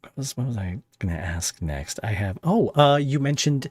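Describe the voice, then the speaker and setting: A man hosting a podcast interview, relaxed and curious, asking questions casually. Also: medium pitch, no echo. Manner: relaxed, curious, quick reactions. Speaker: podcast host.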